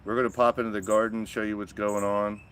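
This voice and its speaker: A man with a raspy morning voice hosting a casual live stream from his backyard garden, chatting and greeting viewers by name. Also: on the low side, little pitch movement, quick pace, a bit bright, slight background noise. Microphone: small phone-mounted microphone.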